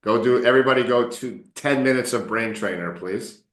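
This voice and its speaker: Relaxed guy coaching english on a video call, joking around, sounds a bit roomy. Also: medium pitch, a little fast. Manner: relaxed, chatty, encouraging, jokes with students. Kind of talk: casual online English lesson.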